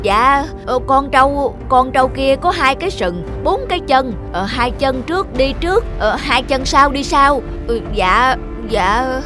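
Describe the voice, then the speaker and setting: A man's voice: extremely high pitch, animated, unhurried, hardly any pauses, over music. A man playing a sly, blustering middle-aged buffalo thief in a cartoon folk tale. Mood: flustered. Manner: brazen, boastful, argumentative.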